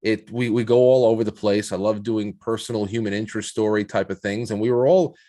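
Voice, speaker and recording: A man with a fairly low voice talks in a reflective, conversational interview style. He feels his way into each point, often repeating a word or two before moving on, like a podcast guest thinking aloud. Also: steady tone, mellow. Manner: halting, repeats words as he builds points. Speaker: podcast guest, show host.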